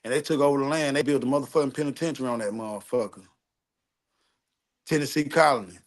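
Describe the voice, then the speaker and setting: Male conversational storyteller, average pitch, loose slangy delivery, swears often, repeats phrases for emphasis. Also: fast. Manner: street slang, profane, repetitive, seeks agreement. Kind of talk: casual chat, storytelling.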